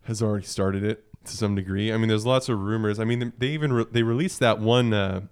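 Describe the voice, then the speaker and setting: A man's voice: fairly low pitch, fairly even intonation, a little fast, a little mellow, clear, resonant tone. A man talking casually on a video game podcast, explaining things in a hedging way. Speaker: podcast panelist.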